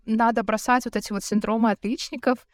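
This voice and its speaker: Young female co-host, thoughtful and a little hesitant, fairly high voice, dry sound. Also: fairly even intonation, a little fast, no echo. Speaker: podcast co-host. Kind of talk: casual chat.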